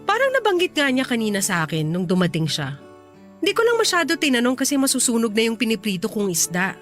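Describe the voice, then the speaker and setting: An actress playing a sharp-tongued young Filipino wife and mother in a radio drama, expressive and emotional. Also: fairly high pitch, fast talker, faint music behind. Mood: casual, curious.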